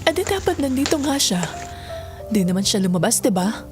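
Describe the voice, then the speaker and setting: A woman's voice: a little high, big pitch swings, fairly quick. Firm, worried mother. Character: concerned, firm mother of a troubled child. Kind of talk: audio drama acting. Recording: music under the voice.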